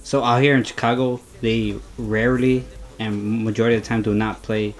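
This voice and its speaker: Low, even-toned male interviewer. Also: normal speed, soft background music. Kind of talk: short interview questions.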